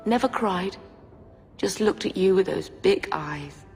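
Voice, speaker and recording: An actress playing a grieving middle-aged mother in a fantasy video game, speaking in an emotional, halting way. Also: medium pitch, vocal fry, darkish tone. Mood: wistful grief. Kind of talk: dramatic video game voice acting. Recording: long reverb, music behind. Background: music.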